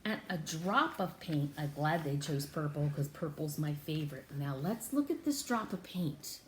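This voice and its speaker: A woman reads a picture book to young children in a highly animated, expressive teaching style. She stretches out key words and invites the listener to respond. Her mid-pitched voice rings in an echoey room with faint noise behind it. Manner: exaggerated, expressive teaching delivery with drawn-out words and gasps. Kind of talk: interactive read-aloud for young children.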